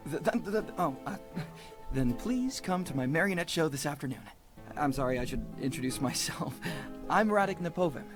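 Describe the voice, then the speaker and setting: A man's voice: fairly high pitch, expressive, a little fast, over music, lightly acted. A man playing an eager, bashful young puppeteer in a video game, with a hesitant, stammering delivery. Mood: flustered, shy.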